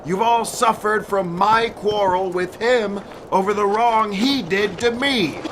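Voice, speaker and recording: Guy playing proud ancient greek warrior making a speech to armies, really high voice, noisy ambience behind. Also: takes it slowly, fairly bright tone. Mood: grave, resolute. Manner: declamatory, formal oratory. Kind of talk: audio drama acting.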